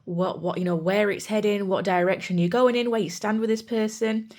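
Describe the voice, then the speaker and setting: A woman's voice: medium pitch, steady tone, brisk, darkish tone. Chatty woman reading tarot with a sore throat. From United Kingdom. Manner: chatty and reassuring, says "you know" and "guys" a lot. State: sore throat, voice cracking at times. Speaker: tarot reader. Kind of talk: pick-a-card tarot reading.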